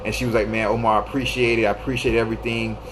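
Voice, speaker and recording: A man's voice: on the low side, little pitch movement, very fast, noisy background. A man in his thirties hosting a live stream, giving loose, repetitive advice and greeting viewers by name. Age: 30s. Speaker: livestream host.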